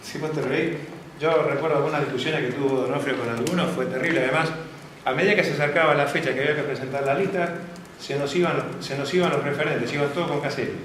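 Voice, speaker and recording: A man's voice: a little high, quick pace, fairly bright tone. A man with an Argentine accent gives an open class, telling anecdotes and asking the audience whether they follow him. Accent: Argentine Spanish. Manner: anecdotal, asks the audience for confirmation. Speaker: guest lecturer. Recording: very echoey classroom, faint background noise.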